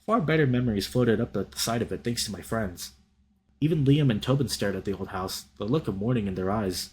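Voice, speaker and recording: A man reading a visual novel aloud as a let's play host, in an expressive, dramatic storytelling style with casual reactions. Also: on the low side, a little fast, rough recording. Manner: animated, dramatic reader.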